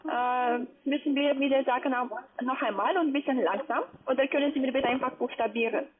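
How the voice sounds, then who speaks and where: Female call agent, non-native, polite but halting, rough-edged voice through a narrow phone line. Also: high-pitched. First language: not German. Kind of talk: instructing a customer by phone.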